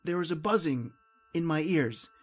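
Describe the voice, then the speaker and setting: A woman playing a desperate, terrified invisible widowed soul, dramatic and halting, in an old-time radio horror monologue. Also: low voice, lively intonation. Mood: haunted dread. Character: desperate, terrified invisible widower trapped between life and death.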